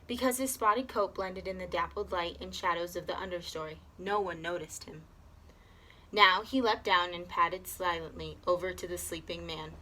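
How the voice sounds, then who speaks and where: A woman's voice: lowish voice, normal speed, pushed and bright, poor audio. A woman reading a children's story aloud expressively, as a teacher does. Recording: clear background noise.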